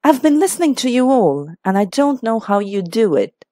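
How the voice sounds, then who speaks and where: A woman's voice: fairly high pitch, big pitch swings, normal speed, warm tone, no room sound, clean studio sound, voice pushed up. A woman voicing a stubborn, flustered upper-class hostess in a mystery audiobook, protesting plaintively. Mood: indignant, flustered. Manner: indignant, obstinate protesting.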